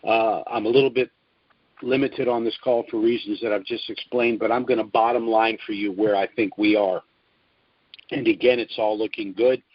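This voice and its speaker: An older American man hosting a conference call as its spokesman, giving an informal business briefing in a measured way with emphasis on key points. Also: lowish voice, steady tone, no echo. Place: at home, recorded over a conference call. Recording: dull, dry phone conference audio.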